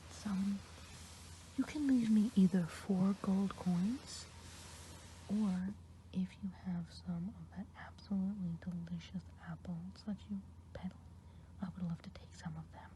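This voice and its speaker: A woman voicing a kindly, chatty good witch of the woods, whispering softly and rambling in an ASMR roleplay. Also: on the low side, slight background noise, lightly acted, low-quality recording. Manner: soft whispering, rambling gossip. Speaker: ASMR performer. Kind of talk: ASMR roleplay eye exam.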